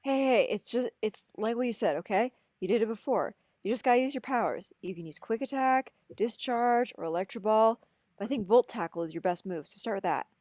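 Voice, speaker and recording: A woman's voice: fairly high pitch, lively intonation, a little fast, a little mellow, dry recording, studio quality, lightly acted. A woman playing an earnest, encouraging young male trainer in an amateur table reading, coaxing and urgent.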